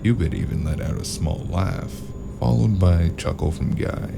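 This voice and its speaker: A man playing a world-weary, sardonic investigator in a noir audio drama, dry and gruff in both narration and dialogue. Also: deep voice, moderate pace, over music. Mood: tense narration.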